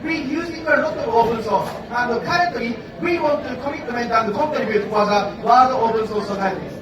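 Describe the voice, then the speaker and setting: A man with a Japanese accent and a very high voice speaks halting English, stopping often to find his words. He is heard from a distance in a strongly echoing conference hall. An audience murmurs around him. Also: a crowd in the background. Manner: halting, searching for words with many fillers.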